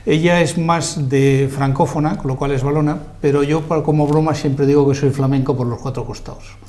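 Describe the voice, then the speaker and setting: A man with a Madrid accent tells his stories as an interview guest, modest and quick to poke fun at himself. His voice sits a little high, and its tone is soft and mellow. He speaks in a TV studio setting. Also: fairly even intonation. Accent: Madrid Spanish. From Spain, Madrid. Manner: self-deprecating storyteller, modest. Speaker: guest, lawyer and journalist. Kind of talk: relaxed interview conversation.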